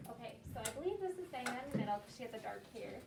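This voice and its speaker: A woman's voice: fairly high pitch, lively intonation, racing, creaky, darkish tone. A woman teacher leading a read-aloud discussion with children, explaining simply and encouragingly. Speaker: elementary school teacher. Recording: echoey, distant mic, noisy with clicks.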